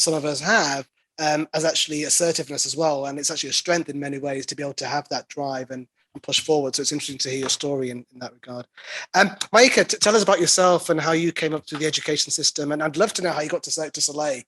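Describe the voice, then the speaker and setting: Man, moderator, fairly high voice, fast talker, encouraging. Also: steady tone, fairly bright tone. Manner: encouraging, upbeat. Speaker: panel moderator. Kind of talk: moderating a panel.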